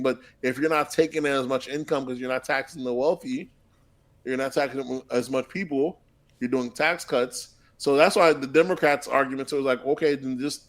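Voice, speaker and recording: A man co-hosting a casual podcast, rambling and restarting his sentences and often asking "you know what I'm saying". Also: medium pitch. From Haitian heritage. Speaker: podcast co-host.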